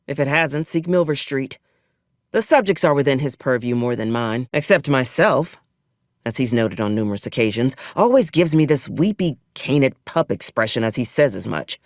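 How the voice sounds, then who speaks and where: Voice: medium pitch, no echo. An actor playing a hard-bitten, sardonic old gun hand of a military officer in a video game, with dry, folksy, formal frontier phrasing. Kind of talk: video game character dialogue.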